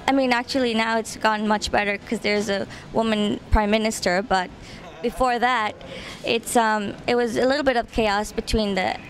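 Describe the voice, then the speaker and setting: A woman talks in a fairly high voice, earnest and informal. She often repeats a phrase to make her point. There is faint background noise around her. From Trinidad and Tobago. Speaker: actress being interviewed. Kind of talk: casual event interview.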